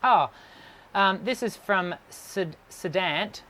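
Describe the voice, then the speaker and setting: A man, a voice teacher, hosts a live stream, reading viewer questions and answering in a measured way. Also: high-pitched, bright, forceful tone, a little hiss or hum. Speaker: host and voice teacher. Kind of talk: live-stream show hosting.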